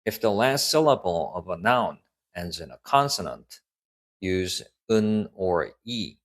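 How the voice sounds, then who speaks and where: A young man whose first language is Korean, giving a clear, scripted online lesson in English with Korean example sentences. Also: sits low, very animated, a little slow.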